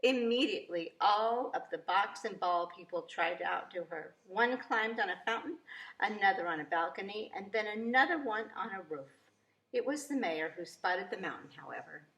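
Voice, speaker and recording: A woman reading a children's storybook aloud in an expressive, deliberate storytelling way, with emphasis on key words. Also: mid-range voice. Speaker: children's story reader, preschool teacher. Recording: echoey room.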